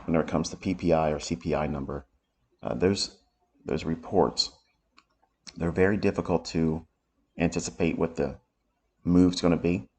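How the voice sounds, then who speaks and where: A man with a raspy voice from allergies, giving unhurried live commentary as he explains market charts. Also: low-pitched, fairly even intonation, a little mellow. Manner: unhurried, thinking aloud while explaining charts. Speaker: trading educator. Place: home office.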